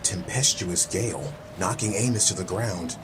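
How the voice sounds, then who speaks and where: A man narrating a fantasy audiobook in a dramatic, measured, literary storytelling style. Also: sits low, background noise. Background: music.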